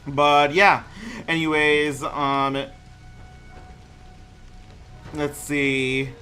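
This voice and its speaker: A man's voice: fairly high pitch, flat delivery, drawn-out words, sharp tone, faint music behind. A man reading aloud and commenting on gameplay casually, often stumbling over words and names and correcting himself. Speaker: gaming streamer reading a myth aloud.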